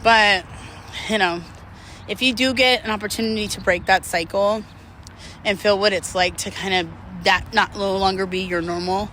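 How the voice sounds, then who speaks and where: A woman's voice: medium pitch, steady tone, moderate pace, fairly bright tone, a little hiss or hum. A woman chatting casually and candidly to her viewers, rambling, self-deprecating and swearing freely in a confessional vlog style. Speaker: vlogger. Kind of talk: casual confessional chat to camera.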